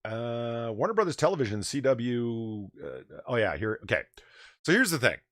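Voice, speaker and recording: A man hosting a live comics news stream, ranting with a booming voice and plenty of profanity. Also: sing-song, normal speed, fairly bright tone, no echo, studio quality. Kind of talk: opinionated live-stream commentary.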